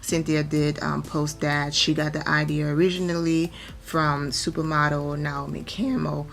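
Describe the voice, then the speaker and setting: Hoarse, gravelly-voiced woman vlogger. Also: low voice, flat delivery, a little slow, faint music behind. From Atlanta, Georgia. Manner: chatty, rambling with many fillers. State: hoarse, nearly losing her voice from allergies. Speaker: celebrity gossip vlogger. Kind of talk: casual gossip commentary.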